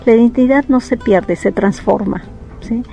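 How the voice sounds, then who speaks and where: A woman from Peru speaks with a gravelly, dark tone. She explains things in a reflective way, often pausing to check in with little tag questions. Soft music plays faintly underneath. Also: fairly high pitch. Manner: reflective, thinks aloud with many tag questions. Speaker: psychologist interviewee. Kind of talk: interview answers, explanatory.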